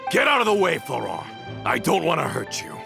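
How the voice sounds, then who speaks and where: An actor playing a bitter, mocking male villain in a video game, throwing accusing questions with a gravelly edge. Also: a little high, wildly swooping pitch, fairly quick, music in the background, heavily acted. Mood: threatening. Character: bitter, taunting male villain sowing self-doubt. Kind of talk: dramatic video game voice acting.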